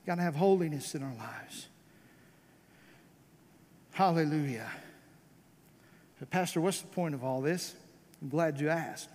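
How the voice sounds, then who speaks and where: A man with a high voice and an American accent preaches like a revival pastor in a large, reverberant hall. His delivery is fervent and emphatic, built on repeated phrases and pointed rhetorical questions. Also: unhurried, long pauses, darkish tone. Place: church service, amplified in a large room.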